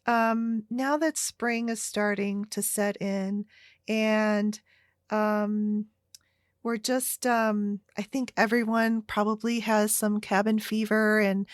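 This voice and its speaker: A woman's voice: on the high side, no echo, clean studio sound. An American woman hosting a solo podcast, chatting casually in a rambling way. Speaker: podcast host.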